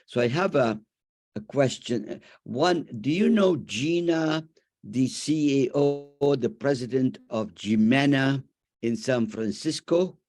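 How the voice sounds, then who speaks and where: A man in his nineties from Iraq, speaking haltingly as he comments and asks questions in an online discussion. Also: medium pitch, darkish tone. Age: over 90. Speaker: audience member, memoir author. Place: remote online video call.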